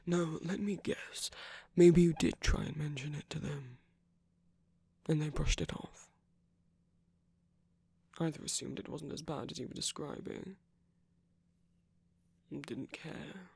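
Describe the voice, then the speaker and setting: A performer voicing a commanding yet tender, possessive villain in an ASMR roleplay, speaking deliberately in a husky voice that often drops to a whisper. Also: mid-range voice, steady tone, mellow, heavily acted. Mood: concerned, chiding. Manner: slow, deliberate, coaxing, with long pauses. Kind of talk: ASMR roleplay, scripted intimate monologue.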